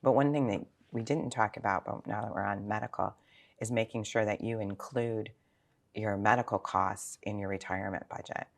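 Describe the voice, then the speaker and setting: A woman's voice: very deep voice, fairly even intonation, normal speed, creaky. A sixty-year-old woman speaking as a financial planner in an interview, explaining things informally with examples and rhetorical questions. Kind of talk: conversational interview answers.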